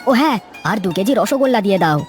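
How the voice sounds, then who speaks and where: A woman's voice: medium pitch, animated, very fast, close to an everyday voice. A woman voicing a devoted, hardworking elder brother from a village in a cartoon. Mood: proud, cheerful. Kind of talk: Bengali cartoon character acting. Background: faint music.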